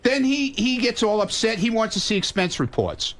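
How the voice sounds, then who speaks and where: A man's voice: high-pitched, big pitch swings, normal speed, a bit bright. A man on a radio show staff arguing off the cuff, insistent and defensive, repeating himself and cursing. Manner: profane. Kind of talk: unscripted argument.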